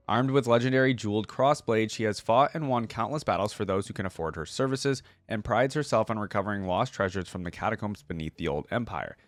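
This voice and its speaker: Low-voiced man, casual reaction host. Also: no room sound. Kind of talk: casual reaction commentary mixed with reading aloud.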